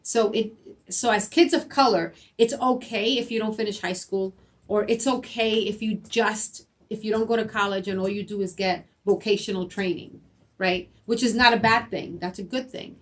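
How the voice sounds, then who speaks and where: A woman's voice: medium pitch, fairly even intonation, normal speed. A middle-aged woman whose first language is Spanish, a school principal answering interview questions earnestly, often adding "right?" and repeating words as she thinks. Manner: earnest, repeats herself, frequent "right?" tags.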